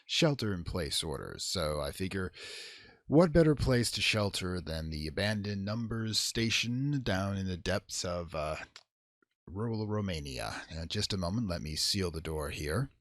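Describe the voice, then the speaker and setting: Horror host and narrator: man with a very low, animated voice, dry close sound, dramatic and playfully ominous. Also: measured pace, no echo. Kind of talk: theatrical horror storytelling, intro chat then dramatic reading.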